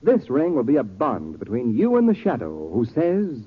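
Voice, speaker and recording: A man's voice: medium pitch, huge pitch swings, moderate pace, husky. An American man reading scripted 1940s radio announcements in a dramatic, emphatic manner. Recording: muffled, reverberant 1940s radio recording with music.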